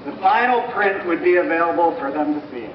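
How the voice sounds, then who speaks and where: A man's voice: a little high, lively intonation, brisk, a crowd in the background. A man giving a scripted educational presentation to a live audience. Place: large room at a live event, far microphone. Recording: echoey, distant, muffled, noisy live recording.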